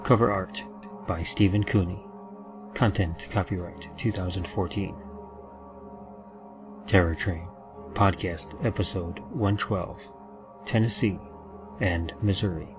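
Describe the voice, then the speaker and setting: Casting: male podcast announcer, very low voice, measured formal delivery of scripted credits, music underneath. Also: fairly even intonation. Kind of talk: scripted narration. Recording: slightly dull, music underneath.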